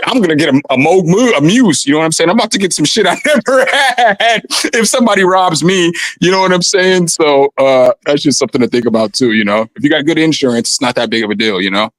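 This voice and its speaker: A man with a raspy voice chatting casually and loosely from his home studio, full of slang and fillers. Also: fairly high pitch, expressive, fast talker, no room sound. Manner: laid-back, slangy, rambling with fillers. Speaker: guest musician on a video call. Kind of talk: casual chat. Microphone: condenser studio mic.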